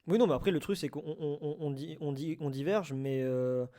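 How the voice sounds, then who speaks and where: A man's voice: on the high side, moderate pace, dark tone. A man hosting a casual live debate, hesitant, often repeating and rephrasing his words. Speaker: host of a live online debate. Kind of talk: casual unscripted debate on audio fiction.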